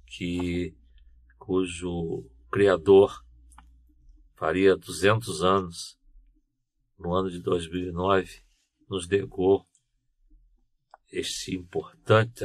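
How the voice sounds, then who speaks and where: A male interviewer with a very deep voice frames his question slowly and formally. He chooses his words with deliberate care and lingers on each phrase.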